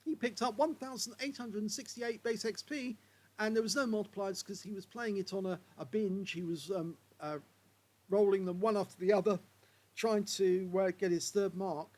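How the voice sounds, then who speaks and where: A hoarse man commentating on game replays in a chatty way, filling pauses with uh and um. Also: sits high, steady tone, darkish tone.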